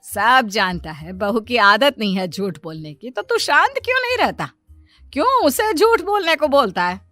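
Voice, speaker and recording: A woman voicing a bossy older village mother-in-law in a cartoon, sharp-tongued and nagging. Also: on the high side, big pitch swings, normal speed, no room sound, faint music behind. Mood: scolding.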